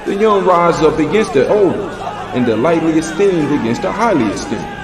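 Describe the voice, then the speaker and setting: A man's voice: fairly high pitch, very animated, moderate pace, crowd noise. A man narrating a dramatized scripture reading in a solemn, declamatory manner. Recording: music bed behind the voice. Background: musical score.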